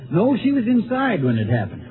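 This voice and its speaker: An earnest country sheriff talks in a rather high voice. His phrasing is clipped, folksy and plain-spoken. It has the narrow, tinny sound of a 1950s radio drama. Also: huge pitch swings, fairly quick. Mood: earnest, explaining. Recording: tinny old radio recording.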